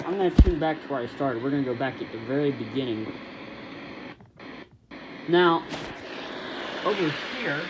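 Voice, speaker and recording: A man's voice: mid-range voice, lively intonation, normal speed, long pauses. A man presenting a casual hands-on hobby video, rambling and thinking aloud as he works, recorded on a phone. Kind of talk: casual hands-on radio demonstration. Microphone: phone camera at varying distance. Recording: noisy phone recording with radio static. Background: shortwave radio noise and static.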